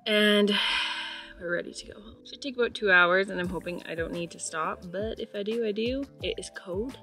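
A woman's voice: medium pitch, normal speed, pushed and bright, soft background music. A woman in her thirties talking to a camera held at arm's length, chatting casually and rambling upbeat as a travel vlogger. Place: hostel grounds, car and home bedroom.

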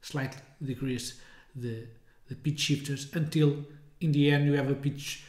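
A man in his thirties giving an informal, hesitant software demonstration, often rephrasing as he goes. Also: mid-range voice, steady tone, a little slow. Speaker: software tutorial presenter. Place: indoors, small room with window blinds. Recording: roomy, echoey indoor sound.

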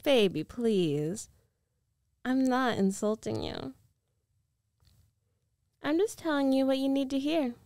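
A woman's voice: high voice, slow, long pauses, darkish tone, no echo, studio quality. A woman playing a rich, possessive ex-girlfriend in an intimate ASMR roleplay, coaxing and self-assured with a condescending edge. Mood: smug coaxing. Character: rich, domineering, possessive young ex-girlfriend coaxing her lover back. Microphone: close microphone, dry.

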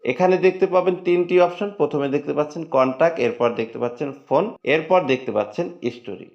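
A man's voice: on the high side, fast. Lo-fi male tech tutorial voice. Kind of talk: step-by-step screen tutorial narration. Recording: dull lo-fi voice-over.

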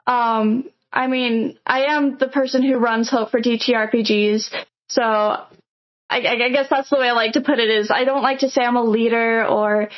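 Chatty Midwestern woman, slightly high. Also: steady tone, moderate pace. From Nebraska, USA. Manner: warm, chatty, frequent fillers and restarts. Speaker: podcast guest. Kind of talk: casual interview answers. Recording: dull lo-fi audio.

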